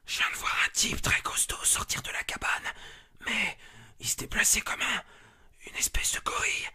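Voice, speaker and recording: A man's voice: sits high, normal speed, pushed and bright, breathy edge, pitched above the performer's own voice, exaggerated voice. A man playing an urgent, frightened member of a search party making radio reports in a horror drama. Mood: hushed, fearful. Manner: urgent, whispering, breaking into screams and sobs. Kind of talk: dramatised horror story acting.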